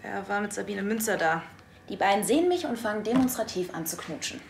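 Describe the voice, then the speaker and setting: A woman's voice: mid-range voice, lively intonation, fairly quick, gravelly, a little hiss or hum. An actress playing a lovelorn, indignant woman in a naturalistic TV crime drama. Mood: defensive. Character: indignant, lovelorn woman recalling an old flame.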